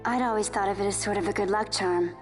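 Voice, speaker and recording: A friendly young adventurer woman in a fantasy game, played by an actress. She sounds casual and youthful at a middle pitch, with loud music behind. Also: fairly even intonation, quick pace, close to an everyday voice. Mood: reassuring.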